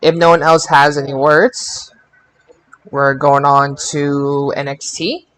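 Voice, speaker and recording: Higher-voiced man hosting a podcast. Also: little pitch movement, slow pace, a bit bright. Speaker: podcast host. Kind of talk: hosting a casual group wrestling discussion.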